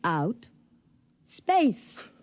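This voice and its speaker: Thrill-seeking, teasing woman, 1950s radio style, theatrical delivery, low and very lively. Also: extremely slow, no room sound, heavily acted. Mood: restless, teasing. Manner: mocking, theatrical, drawling on words. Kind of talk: vintage radio drama acting.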